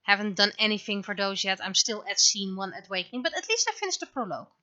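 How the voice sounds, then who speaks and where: A woman's voice: medium pitch, sing-song, quick pace, pushed and bright, no room sound, highs cut off. A woman giving casual livestream commentary as she shows her own creative work. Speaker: livestreamer, webcomic and visual novel creator.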